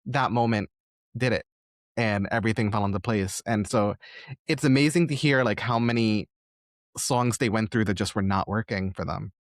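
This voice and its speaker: A man's voice: lowish voice, moderate pace, no room sound, studio quality. A man hosting a conversational podcast interview, speaking in an earnest, effusive and reflective way. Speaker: podcast host.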